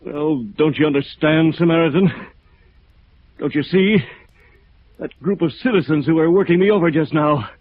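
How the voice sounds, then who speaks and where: An actor with an American accent playing a battered, bitter architect in 1950s radio drama, halting and weary. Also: male voice, on the high side, no room sound, natural voice. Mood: dazed, bitter. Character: battered, bitter, dazed local architect, a beaten man. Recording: muffled narrow-band vintage radio recording.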